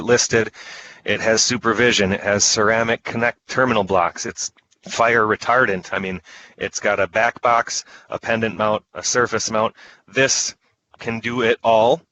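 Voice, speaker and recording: A man's voice: fairly low pitch, bright, forceful tone, muffled recording, slight background noise. A man giving an informal product presentation online, talking in a conversational, enthusiastic salesman's way as a trainer.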